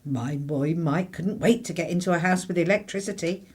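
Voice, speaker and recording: A woman's voice: fairly low pitch, normal speed, breathy edge. A woman playing a practical, plain-speaking 1950s mother in a radio play. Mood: reflective narration. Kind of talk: radio play acting and narration.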